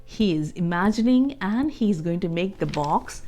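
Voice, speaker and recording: A woman reading a children's storybook aloud as host, expressively and deliberately, adding explanations and questions for young listeners. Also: on the low side, expressive, warm tone, a little hiss or hum.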